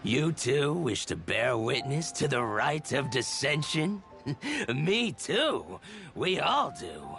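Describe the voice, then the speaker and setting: Fantasy game merchant: theatrical, shrewd, very swooping intonation, unhurried, music behind. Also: male voice, medium pitch, sing-song, vocal fry, heavily acted. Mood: eager, gossipy. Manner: theatrical, formal wording. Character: enthusiastic, shrewd male merchant of a fantasy harbor. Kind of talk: scripted video game dialogue.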